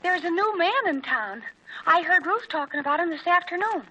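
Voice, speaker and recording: Very high, piercing teenage girl. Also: lively intonation, normal speed, pushed and bright, heavily acted. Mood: eager. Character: eager, gossipy teenage girlfriend, bubbly and insistent. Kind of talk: 1940s radio sitcom acting. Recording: noisy 1940s radio recording.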